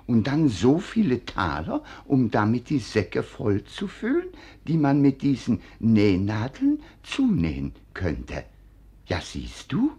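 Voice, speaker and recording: Doting old nobleman, low and very hoarse-edged, faltering, evasive, for vintage radio drama. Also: mellow, exaggerated voice. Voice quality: husky, gravelly old voice. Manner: stammering, sighing, slow, coaxing pet names. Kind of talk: 1940s German radio play acting. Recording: 1940s radio recording.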